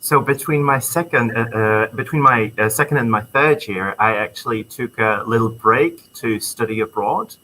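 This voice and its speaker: Bright-voiced Czech-accented young man. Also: mid-range voice, normal speed, hardly any pauses, slight background noise, rough recording. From Czech Republic. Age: young adult. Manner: friendly, enthusiastic, many ums. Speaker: student guest. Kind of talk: casual interview chat. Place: university computer lab.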